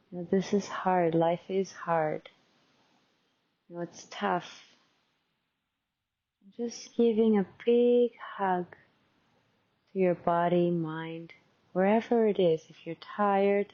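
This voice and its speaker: A woman teacher leading a guided meditation in a gentle, unhurried, conversational and softly instructive way. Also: on the low side, highs cut off.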